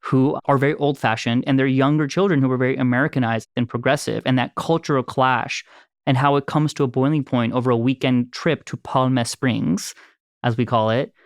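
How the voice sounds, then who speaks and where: A smooth-voiced man telling an animated story. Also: medium pitch, fairly quick, no room sound, studio quality. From Los Angeles. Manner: animated storyteller, laughs easily. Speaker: filmmaker and producer. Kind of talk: casual conversation, storytelling.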